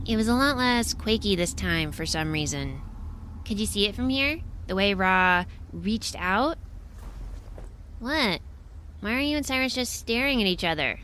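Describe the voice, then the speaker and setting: A woman's voice: mid-range voice, animated, measured pace, fairly bright tone, a little hiss or hum. Playful, chatty spaceship crewwoman. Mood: curious, teasing. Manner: casual, playful. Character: chatty, playful drama-loving woman, spaceship crew member. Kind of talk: audio drama dialogue.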